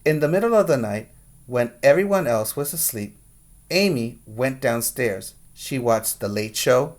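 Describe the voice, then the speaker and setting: Guy teacher reading a kids story out loud, takes it slow, really expressive. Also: medium pitch. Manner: slow, deliberate, with dramatic emphasis. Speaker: preschool teacher reading a picture book aloud. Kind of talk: expressive read-aloud storytelling for young children.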